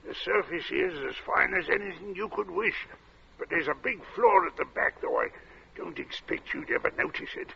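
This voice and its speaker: A man acting as a heat-worn, chatty working-class gravestone carver in a 1940s-style radio drama, with folksy, unhurried talk. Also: high-pitched, expressive, gravelly, slightly rough, full character voice. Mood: chatty, weary. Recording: muffled old radio drama recording.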